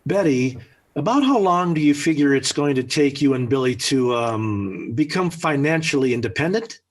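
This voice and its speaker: A man's voice: fairly high pitch, animated, normal speed. An actor playing a smug, scheming middle-aged American father in 1940s radio sitcom style, with pompous, emphatic speechifying. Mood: flustered. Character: smug scheming family father, blustery and theatrical. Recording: old 1940s radio recording.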